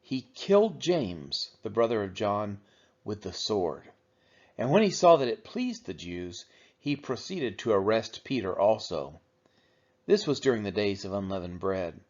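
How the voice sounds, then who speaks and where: A man's voice: lowish voice, big pitch swings, unhurried, darkish tone. A man reading the Bible aloud as a narrator for a church read-along. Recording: dull, lo-fi sound.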